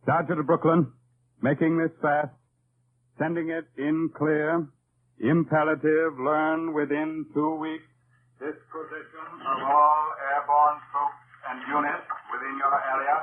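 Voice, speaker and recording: An actor playing a brisk, authoritative army colonel with clipped delivery in an old-time radio drama. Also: male voice, on the high side, little pitch movement, lightly acted. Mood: urgent, commanding. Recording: tinny, hissy vintage radio recording.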